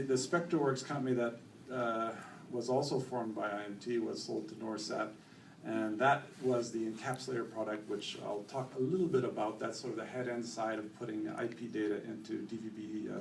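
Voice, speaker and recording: A middle-aged Canadian man giving a company presentation at a conference, relaxed and full of fillers. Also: fairly low pitch, normal speed, gravelly. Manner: relaxed, many fillers, self-corrects. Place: conference hall. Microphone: room mic, later handheld. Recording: echoey hall from far off, faint noise.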